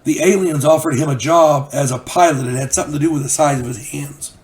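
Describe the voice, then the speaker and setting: A man's voice: fairly high pitch, quick pace, darkish tone. An older man, a channel host, telling a story in a folksy, rambling way while getting over a cold. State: recovering from a cold. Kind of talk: casual storytelling monologue.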